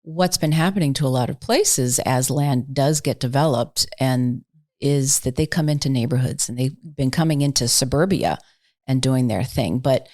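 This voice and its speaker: A woman's voice: very deep voice, normal speed, a little mellow, no echo, clean studio sound. A woman hosting a conversational interview, effusive and leaning on words for emphasis. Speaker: podcast host, interviewer.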